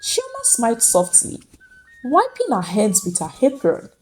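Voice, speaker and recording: A woman's voice: on the high side, huge pitch swings, measured pace. A woman storyteller reading a dramatic scripted folktale as narrator. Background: faint music.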